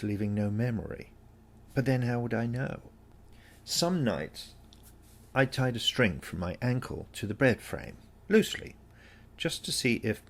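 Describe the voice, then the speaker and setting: A man reading a scripted first-person story aloud in a measured, reflective manner with deliberate phrasing. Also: fairly low pitch, gravelly, a little hiss or hum. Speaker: story reader.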